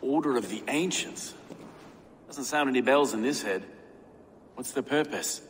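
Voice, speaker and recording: An actor playing an earnest, anxious medieval official in a game cutscene, speaking expressively and eloquently. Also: male voice, medium pitch, measured pace, natural voice. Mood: thoughtful. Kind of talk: dramatic game cutscene acting. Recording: reverberant hall, faint ambient noise.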